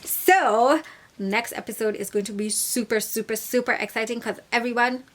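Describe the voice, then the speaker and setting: A young woman from Kenya reviewing a reality show in a casual vlog, sassy and animated, acting out the people she quotes. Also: fairly high pitch, normal speed.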